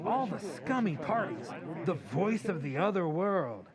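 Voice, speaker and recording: Man playing sardonic young beau, very lively pitch, excitable, radio play, audience noise behind. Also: unhurried, a crowd in the background, exaggerated voice. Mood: wry, whispering asides. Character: wisecracking, impatient young man, protective of his sweetheart. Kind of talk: old-time radio drama acting. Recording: live stage, clear audience noise.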